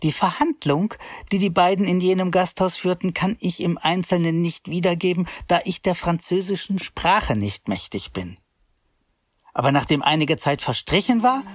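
A narrator telling his journey in an earnest, humble way, scripted for a radio drama. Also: medium pitch.

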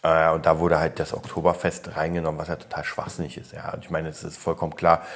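A man's voice: rumbling low, completely flat delivery, fast talker. A man from Berlin, born in Poland, hosting a podcast and chatting casually with lots of restarts and fillers. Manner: rambling, frequent restarts and fillers, blunt. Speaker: podcast host. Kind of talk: casual opinion chat.

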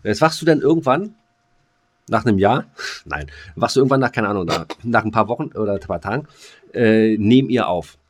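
Low-pitched man bantering on a podcast. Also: lively intonation, brisk. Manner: rambling, repeats words, colloquial. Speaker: podcast host. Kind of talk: casual, joking banter.

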